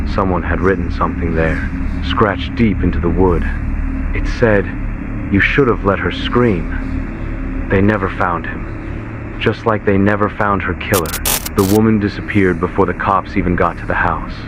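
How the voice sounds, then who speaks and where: Male horror narrator, low-pitched and ominous, on dull lo-fi tape-style audio with clear background noise. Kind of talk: scripted horror story narration in second person. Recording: lo-fi muffled VHS-style audio, heavy noise.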